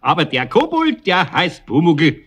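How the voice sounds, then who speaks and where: An actor playing a good-natured, grumbling older male craftsman with a Bavarian accent and a husky voice, in a children's audio play. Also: fairly high pitch, wildly swooping pitch, a little slow, a bit bright, a little hiss or hum, heavily acted. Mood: tipsy, explaining. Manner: muttering, exasperated but indulgent.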